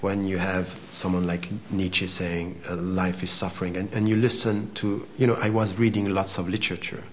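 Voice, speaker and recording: A man's voice: low voice, little pitch movement, normal speed, hardly any pauses, a little hiss or hum. A man in his forties from Switzerland whose first language is Arabic, answering an interview in a thoughtful, hesitant way, often repeating words. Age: 40s. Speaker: interview guest.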